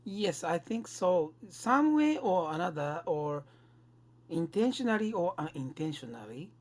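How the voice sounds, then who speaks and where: Podcast co-host, slow halting delivery, searching phrasing, reflective discussion, middling pitch. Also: sing-song, darkish tone.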